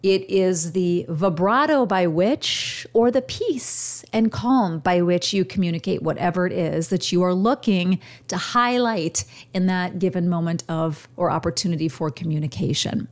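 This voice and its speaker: A woman who hosts a show, speaking as a communication coach with a radio-trained delivery, using deliberate pauses and shifting her volume to demonstrate. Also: on the low side, animated, darkish tone. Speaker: podcast host and communication coach. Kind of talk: instructional monologue. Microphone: studio microphone.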